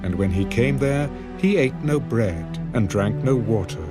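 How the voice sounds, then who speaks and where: Man, mid-pitched voice, audiobook narrator, scripted Bible narration, music clearly behind. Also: animated, a little slow.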